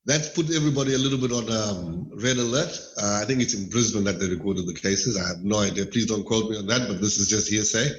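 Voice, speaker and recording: A middle-aged man from Malawi, a podcast guest talking in a thoughtful, measured way with hedging asides. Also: fairly low pitch. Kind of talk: casual interview chat. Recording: roomy echo.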